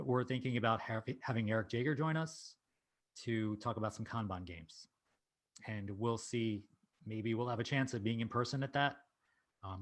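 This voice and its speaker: A man hosting an online meetup, giving event announcements and introducing the speaker in a relaxed, informal way. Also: fairly low pitch. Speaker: meetup host.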